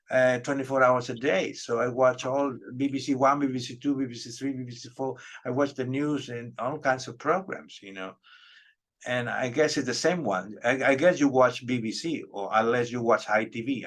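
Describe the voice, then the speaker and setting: A middle-aged man from Peru, a native Spanish speaker, chatting casually in English as an interviewer and pausing often as he explains himself. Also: medium pitch, a bit bright.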